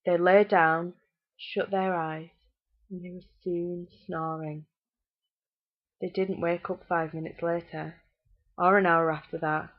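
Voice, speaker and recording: A woman with a British accent narrates a fairytale as a storyteller. Her voice sits fairly low, and the recording is somewhat dull and lo-fi. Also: little pitch movement, slow, pauses often.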